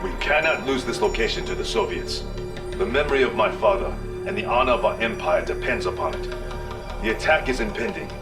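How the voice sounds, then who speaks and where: Game war leader: a proud, imperious prince, very gravelly, swinging in pitch. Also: male voice, sing-song, brisk. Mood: grave, resolute. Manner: imperious, dramatic. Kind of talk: scripted game cutscene acting. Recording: slightly distant, music behind.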